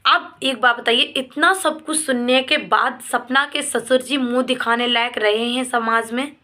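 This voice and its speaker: A woman's voice: on the high side, unhurried, sharp tone. A woman giving an informal opinion vlog, speaking emphatically with repeated phrases in a reproachful, pleading way. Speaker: vlogger commenting on a family controversy. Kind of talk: informal opinion vlog addressed to viewers and a person involved.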